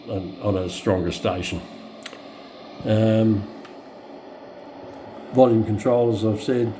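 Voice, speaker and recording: A man with an Australian accent and a mellow, lowish voice walks through a piece of equipment unscripted. He explains in a plain, matter-of-fact way, often halting to find the next word. Faint noise sits behind him on the camera mic. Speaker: radio collector presenting a review. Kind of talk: unscripted hands-on product demonstration.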